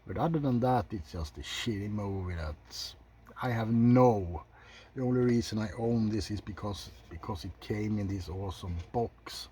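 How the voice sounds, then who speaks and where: A man giving unscripted ASMR film commentary in a soft, low-key, halting way, blunt and opinionated with casual swearing. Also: slight background noise. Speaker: video host reviewing films.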